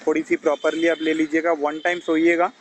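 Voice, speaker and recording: Male health advisor, Indian accent, slightly higher-pitched, reassuring and conversational, on a recorded phone call. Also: level tone, brisk. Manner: reassuring, code-mixing English terms into advice. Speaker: health advisor giving IBS guidance. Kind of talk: casual phone consultation, advising.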